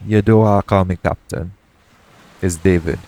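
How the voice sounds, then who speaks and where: Man acting as roguish pirate captain, deep voice, colloquial, frank, gently teasing, intimate audio roleplay, noisy ambient background. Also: fairly even intonation, measured pace. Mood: easygoing, reassuring, then frank, resigned. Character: shady, roguish male pirate captain, jaded yet tender romantic. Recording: clear background noise, ambient sound bed.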